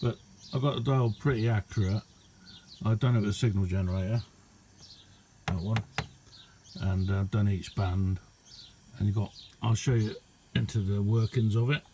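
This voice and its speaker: A middle-aged man, giving a casual, halting and unhurried hands-on demonstration as a radio hobbyist. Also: on the low side, steady tone, background noise. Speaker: radio hobbyist showing a homemade receiver. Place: indoor hobby radio room.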